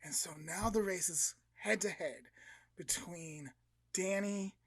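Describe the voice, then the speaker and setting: A woman's voice: fairly low pitch, takes it slowly, breathy edge, dry recording. A woman podcast co-host retelling a story in an informal, dramatic, conversational way and acting out the dialogue. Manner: conversational, dramatic, uses slangy quotes.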